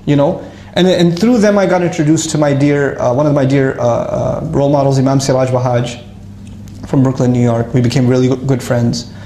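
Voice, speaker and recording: Man, personal storytelling, casual, self-deprecating, roomy echo, faint background hum. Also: a little high. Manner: lively, self-mocking, with frequent restarts and laughs. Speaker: guest telling his life story. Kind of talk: casual spoken storytelling to an audience.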